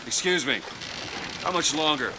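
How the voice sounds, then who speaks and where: An actor playing a gruff, weary, suspicious tough guy in a video game, talking in a blunt, irritable way. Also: a little high, normal speed, noisy background, lightly acted. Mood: impatient. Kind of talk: scripted video game dialogue. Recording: game audio with music behind.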